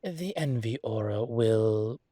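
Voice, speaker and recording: A refined and wary ancient demon professor, acted by a man, speaks slowly and close in a very dry recording. The voice is husky, with formal, wry phrasing and halting pauses, in the style of an intimate audio roleplay. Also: fairly low pitch, animated, warm tone, no echo. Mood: wry, instructive.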